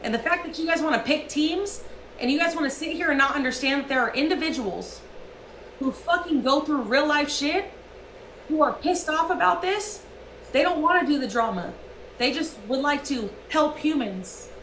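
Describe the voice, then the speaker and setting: A high-pitched, sharp-toned woman rants into her stream, swearing and hammering every point. Her voice rings in an echoey room, with a faint hiss under it. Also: animated, normal speed. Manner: profane, emphatic ranting. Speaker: livestreamer. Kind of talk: heated livestream rant.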